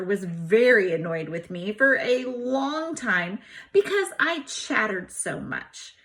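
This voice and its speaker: Woman, teacher, high pitch, lively swooping intonation, emphatic storybook read-aloud delivery. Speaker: teacher reading aloud to students. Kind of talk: animated read-aloud of a diary book.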